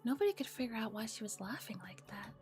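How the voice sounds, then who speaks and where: A soft-spoken woman streamer reading a visual novel aloud in an ASMR style, often dropping into whispers and adding casual comments. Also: on the high side, animated, fast, faint music behind.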